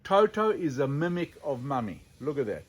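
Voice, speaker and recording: A man telling stories in an animated, unscripted way, theatrical and given to dramatic pauses. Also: mid-range voice, heavy background noise. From Zimbabwe. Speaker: storyteller, sculptor presenting his own elephant figures.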